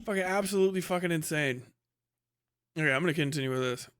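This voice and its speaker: A man's voice: fairly high pitch, quick pace, a bit bright, clean tone. A man hosting a livestream, giving casual commentary and ranting in a sarcastic, mocking, heavily profane way. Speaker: livestream host. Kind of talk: casual reaction commentary, reading posts aloud and ranting.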